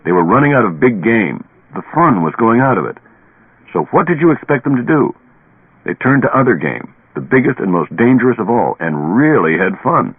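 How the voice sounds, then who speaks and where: An actor playing a stubborn, haunted, tipsy professor who lectures deliberately and dramatically in a radio play. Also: male voice, lowish voice, big pitch swings, breathy edge, narrow, tinny audio, full character voice. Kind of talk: old radio drama acting. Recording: band-limited old radio recording, echoey.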